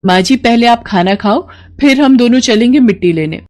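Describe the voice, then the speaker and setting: A woman voicing an earnest, resourceful young village daughter-in-law, eager and respectful, in an animated story. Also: on the high side, moderate pace, voice pushed up, close to an everyday voice. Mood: determined. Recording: noticeable background noise.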